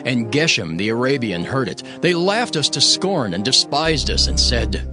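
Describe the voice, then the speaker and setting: A man's voice: medium pitch, big pitch swings, moderate pace. A man giving a dramatic scripted narration of scripture, solemn and declamatory. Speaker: Bible narrator, voicing Nehemiah. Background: music.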